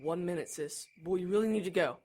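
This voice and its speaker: A woman's voice: lowish voice, fast, darkish tone, close to an everyday voice. A woman acting an anxious, earnest, protective teenage brother in an audio drama, hesitant yet urgent. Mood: hesitant, concerned. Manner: hesitant, urgent, literal-minded.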